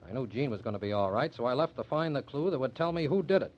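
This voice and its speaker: Fast-talking, earnest young man played by an actor. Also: medium pitch, animated, lightly acted. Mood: urgent, explaining. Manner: intense, assertive, explanatory. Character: earnest, confident young man clearing his name. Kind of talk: old-time radio drama acting. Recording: dull old radio drama recording.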